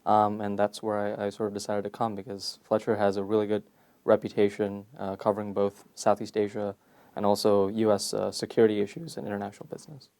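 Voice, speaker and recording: A young man from Malaysia, a PhD candidate answering interview questions in a measured, thoughtful way with frequent fillers. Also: lowish voice, flat delivery, a little mellow. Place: conference venue.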